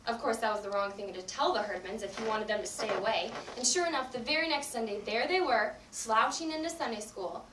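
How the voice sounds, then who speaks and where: A young woman narrating a scripted story on stage as a readers theatre narrator. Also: a little high. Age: young adult. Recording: echoey room, distant mic, clear noise.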